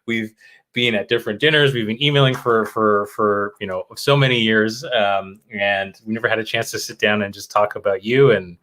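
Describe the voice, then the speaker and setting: A man's voice: fairly low pitch, fairly even intonation, normal speed, fairly bright tone, rough recording. A man hosting an interview, chatty and enthusiastic, asking long rambling questions. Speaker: podcast host, interviewer. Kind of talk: casual interview conversation.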